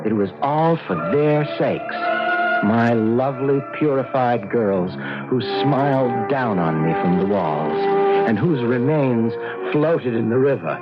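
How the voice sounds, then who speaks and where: Obsessive killer painter, dreamy self-righteous confession, animated pitch, old radio drama, dull recording. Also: male voice, takes it slowly, over loud music. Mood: rapturous. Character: deluded soft-spoken serial killer painter, pious and obsessive. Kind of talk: radio drama acting, first-person narration.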